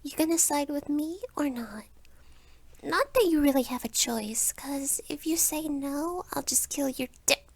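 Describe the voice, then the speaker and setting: A woman's voice: high-pitched, unhurried, no room sound, pitched above the performer's own voice. A woman voicing a bratty young succubus vampire girl, teasing and menacing, in an anime-style roleplay. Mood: taunting. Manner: taunting, flippant.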